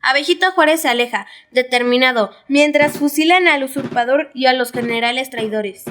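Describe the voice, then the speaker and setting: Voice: sits high, moderate pace, fairly bright tone, slight background noise. A schoolchild with a Mexican accent giving scripted narration for a school audio drama. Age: child.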